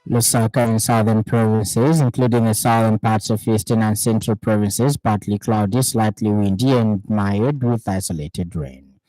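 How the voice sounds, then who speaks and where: A man with a Zambian accent reading a radio news bulletin in a measured, formal newsreader delivery. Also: on the low side, steady tone, warm tone, no room sound, low-quality recording. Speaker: news anchor. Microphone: studio microphone.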